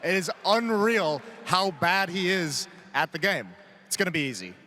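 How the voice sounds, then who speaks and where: A man's voice: high voice, expressive, normal speed, sharp tone. A man trash-talking his opponent in a cocky pre-match interview. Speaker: player.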